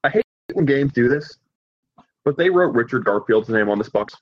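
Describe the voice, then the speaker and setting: A man's voice: mid-range voice, animated, normal speed, dry recording. A man co-hosting a podcast, giving casual, opinionated game review commentary over a lo-fi microphone.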